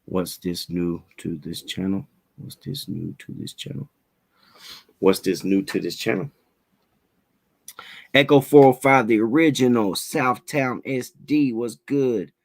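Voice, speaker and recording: A man hosting a casual livestream interview, talking in relaxed slang, a little raspy from a head cold. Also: fairly low pitch, unhurried. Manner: laid-back slangy hype, fillers like "you know what I'm saying".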